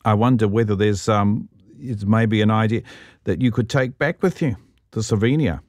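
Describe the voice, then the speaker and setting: A middle-aged man, hosting an interview in a relaxed, conversational way. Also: fairly low pitch, moderate pace, no room sound, studio quality. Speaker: radio host.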